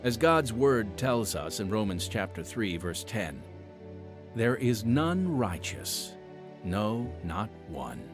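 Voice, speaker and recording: A man's voice: on the low side, big pitch swings, slow, music in the background. A man hosting a ministry podcast, reading announcements with some ad-libbed asides. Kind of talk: scripted radio ministry announcements, partly ad-libbed.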